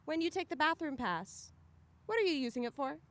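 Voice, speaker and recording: High-voiced, animated wisecracking teenage girl. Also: fairly quick. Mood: cocky. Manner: chatty, sarcastic, dramatic. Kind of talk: science fiction radio drama acting.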